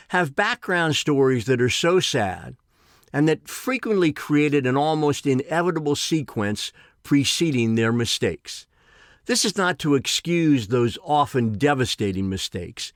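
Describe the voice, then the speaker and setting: A man in his sixties reading his own personal essay in a deliberate, emphatic way with formal phrasing. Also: mid-range voice, dry recording, clean studio sound. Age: 60s.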